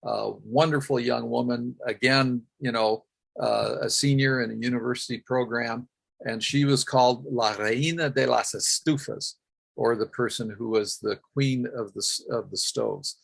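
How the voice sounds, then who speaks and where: A man's voice: mid-range voice, steady tone, normal speed, creaky, a bit bright, slightly rough. A man presenting at a workshop, recounting a field project and answering questions, with frequent "uh" fillers and self-corrections.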